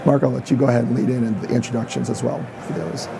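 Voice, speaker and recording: A man's voice: mid-range voice, fairly even intonation, fast talker, vocal fry, noisy background. A man with a strained, fading voice, welcoming the audience and introducing a panel on a conference stage. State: losing his voice. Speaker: host and author.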